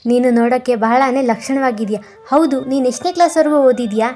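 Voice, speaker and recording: A woman's voice: high-pitched, a little fast, warm tone, close to an everyday voice. A woman voicing a caring, reasonable young husband in a cartoon moral story, speaking in an earnest, reassuring way. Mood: affectionate, reassuring.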